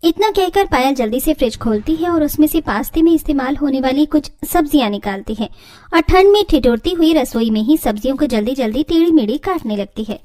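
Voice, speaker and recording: A woman's voice: high voice, animated, fairly quick, warm tone. A woman delivering scripted storytelling narration as the narrator of a family tale.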